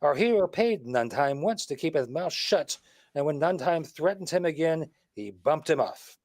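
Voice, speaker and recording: An actor playing a shrewd, wisecracking detective who explains things with confident emphasis, in a 1940s radio mystery style. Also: male voice, a little high, lively intonation, normal speed, darkish tone, dry recording, studio quality. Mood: confident, explanatory. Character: shrewd, wisecracking male detective laying out a murder case.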